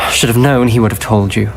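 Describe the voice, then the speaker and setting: A man's voice: fairly low pitch, fairly even intonation, normal speed, gravelly, over music. An actor playing a grim, guilt-ridden young male wizard student in a video game, speaking. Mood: bitter. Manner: somber, weary and bitter. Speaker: game character.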